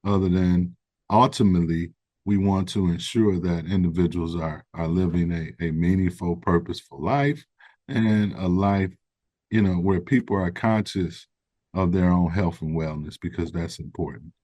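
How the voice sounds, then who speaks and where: A man speaking as an interview guest, explaining his ideas in an earnest, measured way, correcting himself as he goes. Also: deep voice, steady tone, a little mellow, no echo. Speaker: guest, founder of a recovery organization. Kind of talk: conversational interview.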